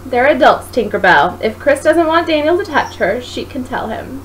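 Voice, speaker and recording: A woman reads as a nosy young mother who loves to tease her best friend. Her storybook dialogue often rises high. The recording has noise behind it. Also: very animated, moderate pace, a bit bright. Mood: motherly. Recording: noisy home recording.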